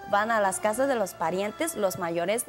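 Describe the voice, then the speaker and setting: A Chinese-born woman in her thirties answers questions in a TV studio, speaking a second language with careful, slightly halting phrasing. She speaks into a handheld mic at an average pitch. Music plays clearly behind her. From China. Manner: careful, slightly halting non-native speech. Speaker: community guest. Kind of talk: TV studio interview. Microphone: handheld mic held by the host.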